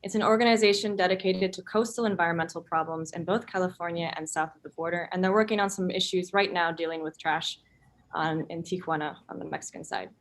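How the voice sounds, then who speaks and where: A woman's voice: on the low side, steady tone, quick pace. A woman reporter co-hosting an online town hall, speaking informally with some scripted introductions. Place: online video call.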